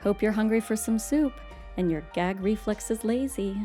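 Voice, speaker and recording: A woman's voice: mid-range voice, moderate pace, dark tone, soft background music. A woman hosting a horror show in a scripted, tongue-in-cheek, teasing way. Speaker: host.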